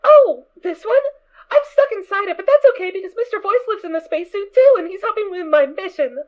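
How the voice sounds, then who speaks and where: A tiny, innocent pony filly with an extremely high, childlike voice. She is cheerful and naive, chirping her way through an audio drama. The recording is a little dull and lo-fi. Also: expressive, fairly quick. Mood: matter-of-fact, innocent.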